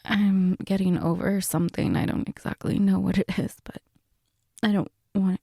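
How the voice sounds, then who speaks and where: Hushed, husky, hesitant mom played by a woman. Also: mid-range voice, level tone, no echo, clean studio sound. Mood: hesitant, flustered. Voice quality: husky, breathy, often whispery. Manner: hesitant, halting, soft-spoken. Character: sick, flustered, reluctant middle-aged mother at home. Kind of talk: intimate girlfriend audio roleplay.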